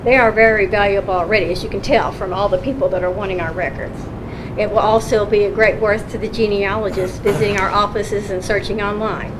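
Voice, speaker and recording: A woman county recorder lays out her case to a council in a plain meeting room, patient and earnest. Her voice sits at an ordinary middle pitch. A steady hum runs under the public meeting recording. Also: brisk. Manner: earnest, explaining patiently with restarts.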